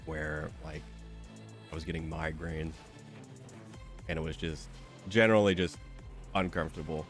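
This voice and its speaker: A man's voice: extremely low pitch, flat delivery, unhurried, faint music behind. A young man with a stuffy, slightly nasal voice, chatting casually and rambling with lots of fillers while streaming a game. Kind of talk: casual chat while gaming.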